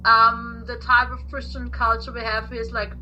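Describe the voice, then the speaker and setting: A woman's voice: on the high side, flat delivery, normal speed, sharp tone, lo-fi sound, slight background noise. A woman from Austria, a native German speaker who speaks English with an Austrian accent, chatting casually as a podcast guest. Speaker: guest, tarot reader and English teacher.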